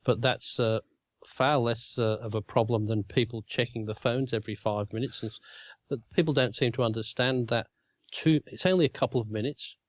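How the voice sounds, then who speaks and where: A man with a throaty voice from a cold, talking in halting bursts and restarting his phrases. The sound is dry but slightly dull and muffled, as if coming over a remote line. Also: medium pitch, fairly even intonation, dry recording. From Australia. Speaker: podcast co-host. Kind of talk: casual chat.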